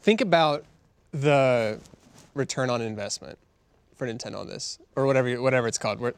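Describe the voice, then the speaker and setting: A man's voice: mid-range voice, brisk, clear, resonant tone. A man chatting casually as a podcast co-host. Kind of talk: casual chat.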